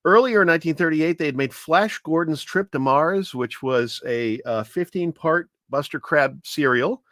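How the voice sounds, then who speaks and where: A man giving an informal, explanatory history talk with anecdotes, speaking in a measured, hesitant way as a commentator. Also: a little high, fairly bright tone, no echo, clean studio sound.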